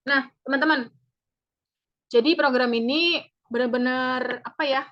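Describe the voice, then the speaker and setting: A woman, a public speaking trainer giving a chatty, upbeat webinar pitch and repeating key points. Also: steady tone, a little slow. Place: online webinar. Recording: dull lo-fi webinar audio.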